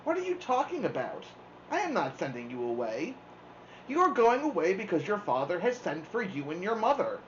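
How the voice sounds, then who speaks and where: A woman voicing a stern, solemn elderly grandmother in a children's story, speaking gravely and deliberately. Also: mid-range voice, big pitch swings, slight background noise, voice pushed up, natural voice. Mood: firm, explaining. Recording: dull lo-fi sound, music behind.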